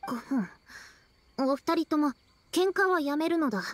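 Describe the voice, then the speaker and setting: Game role: admiring little yokai peacemaker, high-pitched, formal and sincere. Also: female voice, lively intonation, measured pace, dry recording. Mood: earnest admiring. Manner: formal, earnest. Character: polite, admiring young yokai, small peacemaker.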